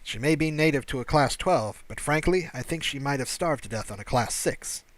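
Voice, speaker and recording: A man's voice: a little high, animated, normal speed, natural voice. Man playing a wry, breezy alien rescuer. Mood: wry, amused. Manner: breezy, dryly teasing, persuasive. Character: wry, kindly male alien rescuer with secret amusement. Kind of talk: audiobook character dialogue.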